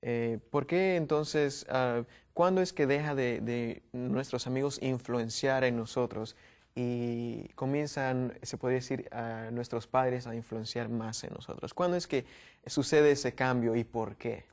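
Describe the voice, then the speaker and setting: A man's voice: mid-range voice, fairly even intonation, unhurried, a little mellow. A young man from Guatemala hosts a youth panel discussion, asking questions with frequent fillers and restarts. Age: young adult. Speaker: host.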